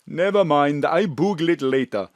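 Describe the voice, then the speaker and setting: An actor playing a cheerful, flirtatious foreign neighbour who mixes up English words. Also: male voice, a little high, moderate pace, no room sound, close to an everyday voice. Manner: warm, flirtatious, lively. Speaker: Sakis, café owner friend. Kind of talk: live audio drama acting. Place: home studio.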